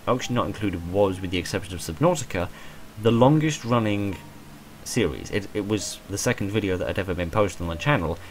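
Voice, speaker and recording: A man in his twenties with a hoarse voice, talking in a rambling, self-deprecating way about his channel and stumbling over repeated words from a small room at home. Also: lowish voice, slight background noise, poor audio. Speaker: channel creator. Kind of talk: rambling personal monologue.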